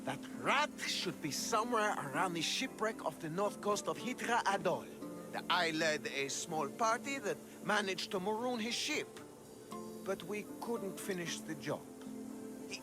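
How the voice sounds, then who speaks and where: High-voiced, jealous lovesick game character. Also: male voice, expressive, unhurried, over music. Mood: brusque. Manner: haughty, defensive, sneering. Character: jealous, lovesick young man giving mercenary orders. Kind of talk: video game dialogue acting.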